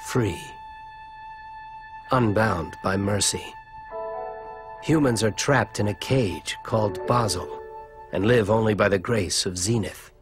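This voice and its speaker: A man playing a solemn, melancholy philosopher in a grave, measured video game monologue. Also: low voice. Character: solemn, melancholy philosophical man addressing his beloved masterpiece. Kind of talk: dubbed video game cutscene acting. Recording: music behind the voice.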